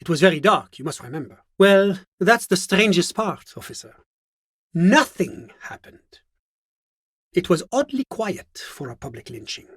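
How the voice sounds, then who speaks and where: Polite government official, fastidious, evasive, formal and measured, slightly high male voice, dry studio sound, video game dialogue. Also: sing-song, a bit bright, slightly rough, no room sound, exaggerated voice. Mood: reserved, uneasy. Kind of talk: video game character voice acting.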